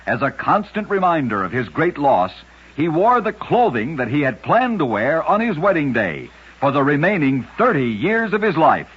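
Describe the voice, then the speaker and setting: A man narrating short scripted oddities as a vintage radio host, with a dramatic, measured storytelling delivery. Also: fairly high pitch, big pitch swings, a bit bright, husky. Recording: dull, muffled vintage radio recording.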